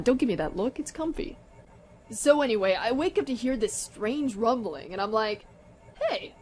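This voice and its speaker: A woman in a radio play voicing a laid-back, slangy female pony DJ who is a loyal friend. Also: fairly high pitch. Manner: slacker slang, easygoing.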